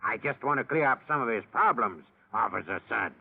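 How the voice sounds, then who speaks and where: An actor playing a commanding amateur hypnotist in a comic old radio advertisement, with a gravelly voice. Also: male voice, mid-range voice, lively intonation, fairly quick. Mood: coaxing. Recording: thin, phone-like old radio recording.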